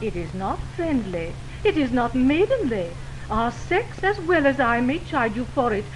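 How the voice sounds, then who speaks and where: A woman's voice: fairly high pitch, big pitch swings, a little slow. A woman playing a wounded, indignant young woman in love, in theatrical, declamatory 1920s radio Shakespeare style. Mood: hurt, indignant. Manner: theatrical, declamatory verse delivery. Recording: noisy 1929 radio broadcast on optical film.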